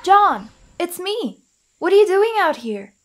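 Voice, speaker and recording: A woman's voice: very high voice, very animated, unhurried, background noise, exaggerated voice. A young woman acting in a comic dub as a protective, outspoken friend, emphatic and insistent. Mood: friendly. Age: young adult.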